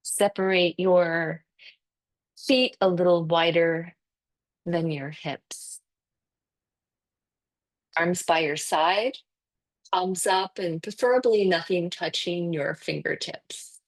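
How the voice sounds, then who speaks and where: A woman teaching guided yoga nidra meditation, speaking calmly and soothingly in short phrases with long pauses between them. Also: on the low side.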